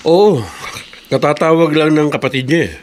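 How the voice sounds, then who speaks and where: An actor playing a kindly, generous middle-aged boss, consoling and calm with quiet authority in a radio drama. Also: male voice, a little high, normal speed, lightly acted. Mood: concerned. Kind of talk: Tagalog radio drama acting. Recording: radio drama with audible background noise.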